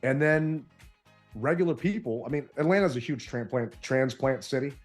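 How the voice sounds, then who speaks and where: A man co-hosting a podcast, telling an animated story and acting out his side of an argument in casual chat. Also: medium pitch, moderate pace, faint music behind. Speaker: podcast co-host.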